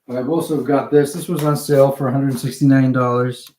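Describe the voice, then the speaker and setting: A man's voice: mid-range voice, flat delivery, warm tone. Rambling man thinking aloud. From Canada. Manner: halting, digressive, thinking aloud. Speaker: hobbyist livestreamer showing purchases. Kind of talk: casual rambling livestream chat.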